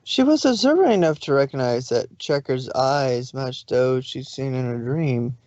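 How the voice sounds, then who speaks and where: A woman's voice: very deep voice, expressive, normal speed, a little mellow, no room sound. Woman narrating, dull dry recording. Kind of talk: scripted story narration.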